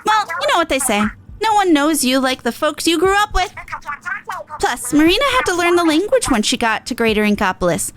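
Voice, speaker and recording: A woman voicing a brash, upbeat young pop star from a video game, slangy, punchy and excitable. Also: high-pitched, a little fast, background noise. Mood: determined, caring. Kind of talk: character reading of video game dialogue.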